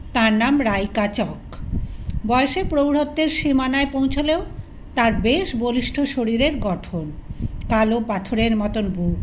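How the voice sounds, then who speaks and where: Female literary narrator, higher register, deliberate and measured delivery; slightly dull recording with faint background noise. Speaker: audiobook narrator.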